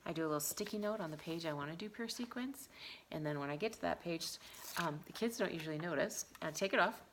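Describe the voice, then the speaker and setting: A woman's voice: fairly low pitch, quick pace, fairly bright tone, a little hiss or hum. A woman teaching in an informal, explanatory way, as a trainer showing teachers how to prompt young children. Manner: acts out example questions as if to young children. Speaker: early literacy teacher trainer. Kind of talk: informal instructional talk with demonstrations of prompts to children.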